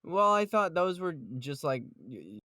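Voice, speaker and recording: A man's voice: fairly high pitch, very animated, measured pace, bright, forceful tone, no echo, clean studio sound. A man hosting a podcast in casual group chat, rambling, joking and ready to argue. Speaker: podcast host. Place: close voice chat recording.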